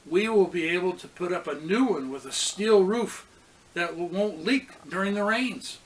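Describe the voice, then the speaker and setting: A man voicing a gentle, practical village mother in a storybook, speaking in a measured, reassuring way. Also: high voice, natural voice, low-quality recording. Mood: hopeful.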